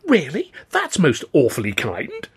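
A man's voice: medium pitch, big pitch swings, normal speed, breathy edge, voice pushed up. A man voicing an eager, naive young comic in an audiobook, full of polite, gushing, old-fashioned exclamations. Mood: excited, amazed. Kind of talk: audiobook character voice.

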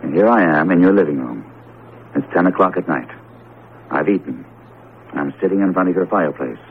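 Low-voiced, wry professor, old radio. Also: male voice, expressive, brisk, narrow, tinny audio. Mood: puzzled, reasoning. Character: skeptical, genial male psychology professor, pipe-smoking friend. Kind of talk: 1970s radio drama acting and first-person narration. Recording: noisy, band-limited 1970s radio broadcast recording.

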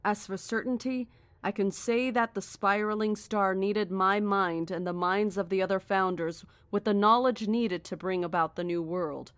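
An actress playing a cryptic, coldly certain cult founder in a horror audio drama, speaking in a measured, formal and deliberate way. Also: female voice, mid-range voice, steady tone, a bit bright, clean tone, no echo, natural voice. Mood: calm, knowing.

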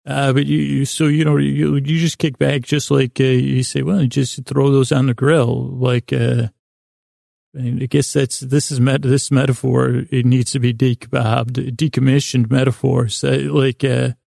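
A man hosting a bedtime podcast in a rambling, whimsical monologue, meandering and stumbling over words, with a gravelly, creaky voice. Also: mid-range voice, little pitch movement, moderate pace, darkish tone, dry recording, studio quality. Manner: silly, whimsical, soothing intent. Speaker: podcast host.

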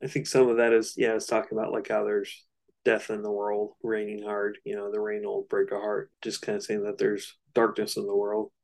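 A man's voice: on the low side, completely flat delivery, brisk, creaky, a little mellow. A man co-hosting a casual album discussion, explaining things in a loose, slightly hesitant way. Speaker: podcast co-host.

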